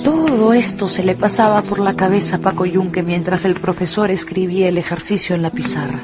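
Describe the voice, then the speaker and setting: A woman narrator telling a story for a radio drama in a measured, expressive way. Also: medium pitch.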